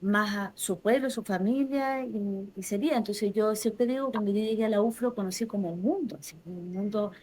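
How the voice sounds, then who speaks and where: Chilean woman, 40s, quiet reflective voice, kinda halting, guest on a podcast chat. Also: mid-range voice, husky, rough recording. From southern Chile. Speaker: interview guest. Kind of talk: casual interview conversation.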